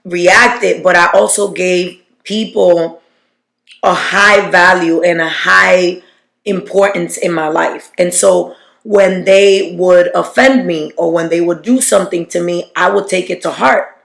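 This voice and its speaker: Gravelly woman in a roomy echo. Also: lowish voice, fairly even intonation, unhurried, fairly bright tone. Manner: reflective, often restarts, quotes scripture figures. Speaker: podcast co-host. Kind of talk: faith-based podcast conversation.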